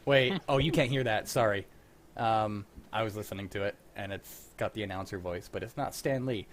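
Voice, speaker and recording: A young man hosting a livestream, chatting casually and telling stories in an animated, sweary way. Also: lowish voice, normal speed. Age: young adult. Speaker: host of a livestreamed script-reading show. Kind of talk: casual chat.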